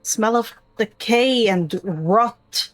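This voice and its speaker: A woman acting as game master, narrating a tabletop role-playing game in a descriptive, deliberate way. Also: a little high.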